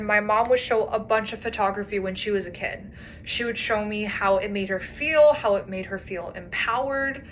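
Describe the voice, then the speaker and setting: A woman's voice: mid-range voice, a bit bright, a little hiss or hum. A young woman giving a prepared class presentation, explaining in an earnest way with a few light laughs. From United States. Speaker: student presenter.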